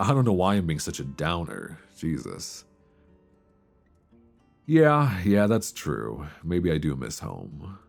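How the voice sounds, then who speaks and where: Very deep, gravelly, wistful young man, acted. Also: heavily acted. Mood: tender, melancholy. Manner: hesitant, confiding, conversational with sighs. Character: wistful young man, homesick friend with a secret crush. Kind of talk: intimate romantic audio roleplay, ASMR. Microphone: close binaural microphone. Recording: close binaural recording with soft guitar music.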